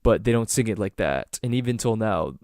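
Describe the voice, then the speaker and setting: A man's voice: fairly low pitch, expressive, fairly quick, darkish tone, no room sound, studio quality. A young man from Southern Ontario with a Toronto-area Canadian accent, hosting a casual solo podcast and rambling with many ums and restarts. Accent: Canadian, Greater Toronto Area. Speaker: podcast host.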